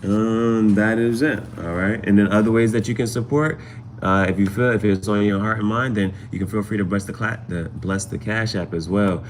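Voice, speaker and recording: A man with a fairly low voice talks informally to his livestream viewers as an amateur tarot reader. He rambles and repeats phrases to stress them. A steady, noticeable noise sits behind his voice. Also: normal speed. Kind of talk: casual livestream chat to viewers.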